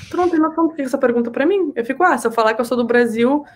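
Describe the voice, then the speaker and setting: Woman from south brazil, talks really fast, kinda high voice, chatty podcast guest, emphatic. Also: slight background noise. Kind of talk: casual, animated personal storytelling in conversation.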